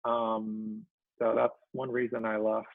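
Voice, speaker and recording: Man around forty, from Israel, very gravelly voice, hesitant soft-spoken chat, thin phone-like sound. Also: lowish voice, completely flat delivery, no room sound. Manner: halting and soft-spoken, full of ums and trailing phrases. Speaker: guest cartoonist. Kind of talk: casual chat. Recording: tinny dry call audio.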